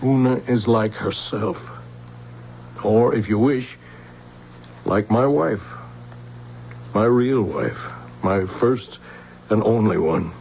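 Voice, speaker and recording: An actor in a radio drama playing a wistful, reflective man who mourns his wife and speaks deliberately. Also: lowish voice, gravelly. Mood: wistful, tender. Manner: slow, deliberate, reflective delivery. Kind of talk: old-time radio drama acting. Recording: dull vintage radio recording, faint hiss and hum.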